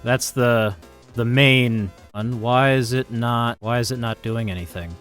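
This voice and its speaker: A man's voice: medium pitch, unhurried, fairly bright tone. A man thinking aloud in casual, rambling, self-deprecating commentary as he live-streams his programming. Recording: music playing behind the voice.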